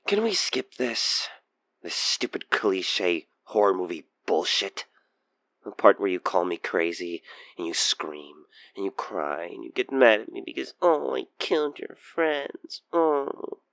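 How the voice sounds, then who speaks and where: Low-voiced obsessed stalker killer, acted by a man. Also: lively intonation, a little slow, creaky, a bit bright, dry recording, heavily acted. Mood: mocking, impatient. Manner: theatrical, teasing, slipping between murmurs and whispers. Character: obsessed male stalker killer, playfully menacing, infatuated, taunting. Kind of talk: intimate audio roleplay monologue.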